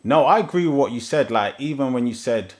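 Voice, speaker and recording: A man's voice: medium pitch, expressive, fairly quick, darkish tone. A man hosting an interview podcast, chatting in a laid-back, easygoing way with his guest. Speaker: podcast host. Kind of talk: casual interview chat.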